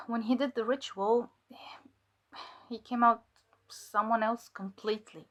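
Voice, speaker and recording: Woman playing female cult infiltrator, audio drama, high voice, haunted, guilt-laden, halting emotional delivery. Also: steady tone, fairly bright tone. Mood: grim, regretful. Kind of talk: audio drama testimony acting.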